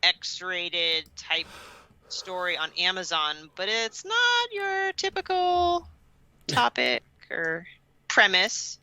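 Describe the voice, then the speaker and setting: Animated woman, strikingly bright voice. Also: lowish voice, slow pace, dry recording. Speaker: podcast co-host. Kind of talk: casual podcast chat.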